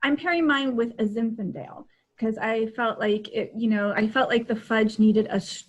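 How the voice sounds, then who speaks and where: A woman's voice: fairly high pitch, fairly even intonation, normal speed. A middle-aged woman chatting casually as a co-host, in a chatty, self-deprecating way. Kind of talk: casual chat. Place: home room, indoors. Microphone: video call. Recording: dull lo-fi video-call audio.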